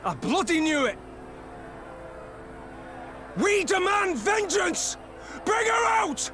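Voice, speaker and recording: A man's voice: very high voice, very animated, slow pace, noisy background, exaggerated voice. An actor playing an enraged townsman in a vengeful mob in a video game. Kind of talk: dramatic video game voice acting.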